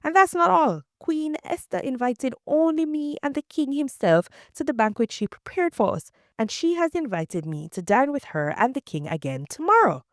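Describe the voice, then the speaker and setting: A woman's voice: high-pitched, very animated, normal speed, few pauses, dry recording, clean studio sound, voice pushed up. A woman reading the boasts and bitter complaint of a proud nobleman. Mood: boastful.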